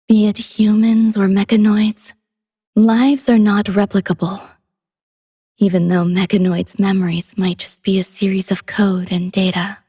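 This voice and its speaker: Breathy, gentle android woman. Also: mid-range voice, no room sound, studio quality. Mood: solemn. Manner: soft-spoken, wistful, tender delivery. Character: gentle, poetic female android companion, soft and devoted. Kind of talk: scripted game character voice lines.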